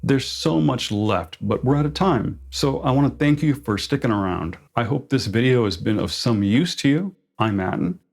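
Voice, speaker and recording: A man's voice: medium pitch, expressive, moderate pace, vocal fry, few pauses. A man hosting a channel, reading a scripted explainer as narration. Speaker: host of a tabletop RPG lore channel.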